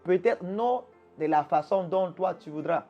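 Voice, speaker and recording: A fairly high-pitched man speaks as a pastor being interviewed. He teaches with emphatic conviction and often stumbles back over a word before pushing on. Faint music plays underneath. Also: a little slow, muffled recording. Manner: restarts and repeats words, emphatic teaching tone. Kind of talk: earnest preaching-style answers. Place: Quebec.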